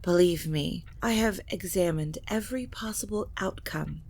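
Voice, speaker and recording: A woman playing an ancient, scheming vampire matriarch in an audio drama, speaking in a measured, formal and grave manner. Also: fairly low pitch, a little mellow. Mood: grave, resolute. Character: ancient vampire matriarch, scheming scholarly mother of grown children. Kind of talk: scripted audio drama acting.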